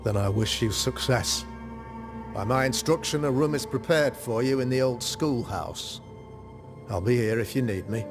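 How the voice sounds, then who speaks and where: A man playing a pious, grave colonial demonologist in a video game, speaking in a formal, measured, rhetorical way. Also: medium pitch, over music, close to an everyday voice. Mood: resolute. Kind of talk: dramatic video game cutscene acting.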